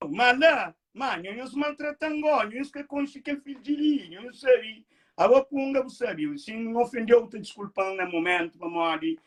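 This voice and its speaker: Brief: male vlog host, very high voice, emphatic and repetitive. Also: normal speed. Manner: animated, repetitive, emphatic outbursts. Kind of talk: heated casual talk.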